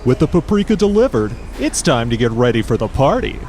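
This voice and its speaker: An actor playing a wry, dramatic reality-show narrator, reading scripted narration in an audio drama. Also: male voice, mid-range voice, sing-song, fairly quick, a little mellow, close to an everyday voice.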